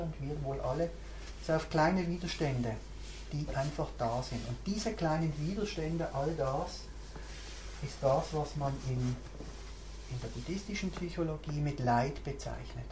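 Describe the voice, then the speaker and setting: A woman, a mindfulness teacher giving a reflective, searching talk to a group and thinking aloud as she goes. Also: low-pitched. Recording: roomy recording, faint background hiss.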